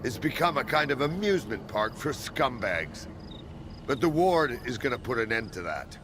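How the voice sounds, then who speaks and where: An actor playing a ruthless, authoritative military commander in a video game cutscene, speaking in measured, commanding phrases. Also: male voice, medium pitch, big pitch swings, noisy background, close to an everyday voice. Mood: cold, resolute. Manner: commanding, measured, clipped orders.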